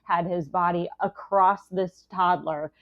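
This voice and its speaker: Lowish-voiced woman, slightly dull audio. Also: steady tone, takes it slowly. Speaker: physician guest. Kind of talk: conversational medical anecdote and comment.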